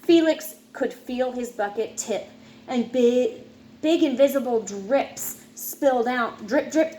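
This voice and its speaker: A woman's voice: fairly high pitch, slow, mellow. A woman reading a children's picture book aloud as narrator, with some noise in the recording. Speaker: storybook reader.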